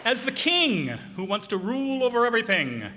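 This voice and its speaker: A man's voice: extremely high pitch, sing-song, brisk, bright, forceful tone, a faint crowd. A man acting as master of ceremonies, introducing a cast in a live curtain-call announcement. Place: live performance hall. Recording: echoey hall with noticeable noise.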